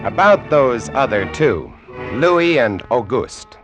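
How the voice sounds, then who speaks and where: An animated lighthouse keeper storyteller. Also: male voice, medium pitch, takes it slowly, highs cut off, music in the background. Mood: wry, reminiscing. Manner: vivid, dramatic storytelling. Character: reflective lighthouse keeper telling his sea tale. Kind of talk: golden-age radio drama narration. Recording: old radio recording with musical underscore.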